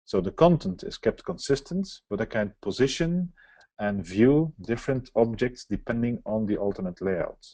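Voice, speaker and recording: Man for a webinar software walkthrough; explanatory, mellow tone, dry close sound. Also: on the low side, slightly rough, dry recording. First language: Dutch. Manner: explanatory, walks through on-screen steps. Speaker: software presenter. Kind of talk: live software demo webinar.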